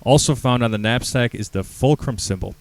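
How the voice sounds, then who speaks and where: A man co-hosting a casual podcast chat, excitable and laughing, who swears freely and sometimes reads notes aloud. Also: medium pitch, fairly quick, no echo. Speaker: podcast co-host. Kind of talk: casual chat among friends, reading gift notes aloud. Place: garage.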